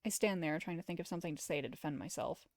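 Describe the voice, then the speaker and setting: A woman streamer reading a visual novel aloud as expressive first-person story narration. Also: deep voice, very fast, dry recording, clean studio sound.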